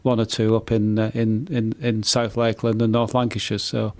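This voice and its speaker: An older British man, a poet being interviewed, who speaks haltingly and often repeats the starts of his words. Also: on the low side, flat delivery, a little mellow. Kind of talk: casual radio interview.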